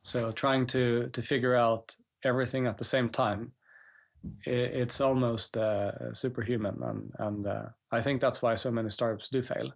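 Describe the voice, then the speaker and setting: A man, a startup co-founder, talking in a thoughtful, measured way with frequent pauses and fillers during an interview. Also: mid-range voice, level tone, gravelly. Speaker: startup co-founder and CTO guest. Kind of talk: reflective conversational interview.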